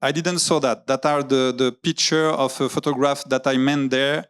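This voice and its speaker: A man gives a talk in English, pausing often to hunt for words and starting his sentences over. His voice is smooth and clear at a middle pitch, steady and unforced. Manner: hesitant, searching for English words, many fillers and restarts. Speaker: graphic novelist giving a talk. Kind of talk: public talk with slides. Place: lecture venue.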